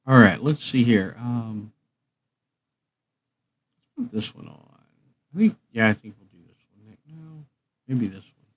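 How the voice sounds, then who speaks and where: A man's voice: medium pitch, very animated, measured pace, pauses often. A man hosting a casual live stream, rambling and muttering to himself as he decides things. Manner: muttering to himself while choosing tracks. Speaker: live stream host and music creator.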